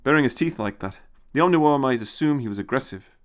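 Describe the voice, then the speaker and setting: A man's voice: medium pitch, lively intonation, quick pace, clean tone. A man reading a scripted story as a narrator, in a dramatic storytelling style. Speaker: audiobook narrator.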